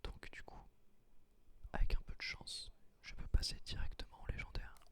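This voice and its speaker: A man whispering a gameplay commentary in ASMR style, explaining things in an unhurried, hesitant way. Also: sharp tone. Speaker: gaming video creator.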